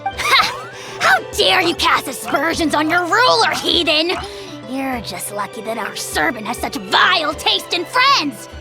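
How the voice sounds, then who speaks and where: High, pompous little dragon lord. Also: wildly swooping pitch, a little slow, full character voice. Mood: furious, boastful. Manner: pompous, imperious royal "we", insulting. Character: tiny haughty, bombastic self-proclaimed dragon lord creature. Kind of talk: video game character acting. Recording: game music behind the voice.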